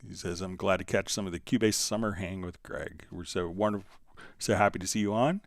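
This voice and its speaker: A man hosting a live software tutorial in an informal, conversational way, thinking aloud as he demonstrates. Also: low voice, fairly quick, dry recording, studio quality. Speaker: livestream host and software instructor.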